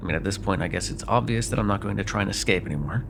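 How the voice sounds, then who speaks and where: A man's voice: fairly low pitch, rapid-fire, creaky, noisy background. A man playing a sardonic, grudgingly apologetic vampire in an immersive roleplay, talking in a wry, hesitant, conversational way with some swearing. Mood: awkward, apologetic. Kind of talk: immersive ASMR roleplay acting, one side of a dialogue.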